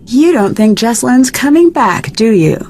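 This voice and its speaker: An actress playing an inquisitive, composed young detective in a video game, probing and matter-of-fact. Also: female voice, a little high, big pitch swings, moderate pace, darkish tone, faint music behind.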